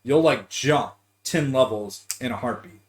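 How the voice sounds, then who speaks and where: A man's voice: medium pitch, unhurried. A man hosting a casual gaming podcast, chatting in a slangy, profane, teasing way. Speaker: podcast host.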